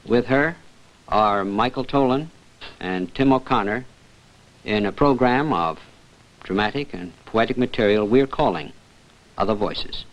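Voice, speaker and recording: A man hosting a 1960s television program, giving a formal, scripted introduction in a measured, erudite manner. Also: lowish voice, expressive. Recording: dull, lo-fi 1960s television sound.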